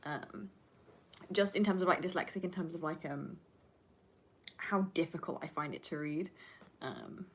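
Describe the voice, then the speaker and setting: Low-pitched young woman chatting candidly. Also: unhurried, pauses often, slightly rough. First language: Flemish Dutch. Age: 20s. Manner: rambling, candid, sweary, emphatic. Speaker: livestreamer and film student. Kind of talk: casual livestream chat answering viewer questions.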